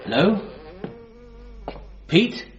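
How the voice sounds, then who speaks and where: A man's voice: drawn-out words, highs cut off. An actor playing an anxious, awkward son in a radio comedy drama, stammering and flustered as he talks. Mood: uneasy. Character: anxious, awkward bereaved son, excitable and sarcastic.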